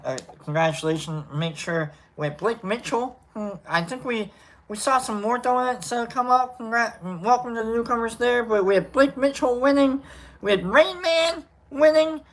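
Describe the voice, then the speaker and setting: Streamer host, gravelly and bright-toned, rambling, lots of fillers, warm shout-outs to chat. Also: medium pitch, a little hiss or hum. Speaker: livestream host. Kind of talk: casual livestream chat.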